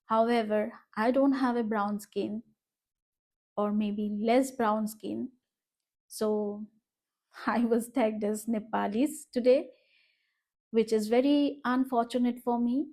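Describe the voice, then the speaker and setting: Slow, hesitant Indian woman vlogging. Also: on the high side, fairly even intonation, warm tone. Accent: Indian English. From Northeast India. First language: Bengali. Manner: reflective, hesitant, many fillers. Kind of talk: personal vlog monologue. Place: her room in an apartment.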